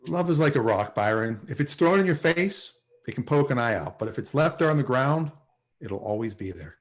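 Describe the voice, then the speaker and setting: A man's voice: medium pitch, animated, fairly quick, close to an everyday voice. A man playing a lovesick, wisecracking, anxiously hopeful sitcom character in a table read over a video call. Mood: wistful.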